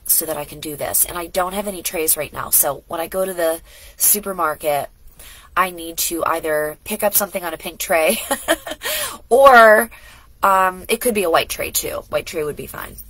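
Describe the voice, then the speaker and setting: A woman's voice: on the low side, animated, normal speed, a bit bright, husky, no echo, rough recording. A middle-aged woman vlogging casually at home, chatty and rambling, a bit congested from a cold. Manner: rambling, chatty, talks in asides and restarts. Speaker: lifestyle vlogger. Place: home studio indoors.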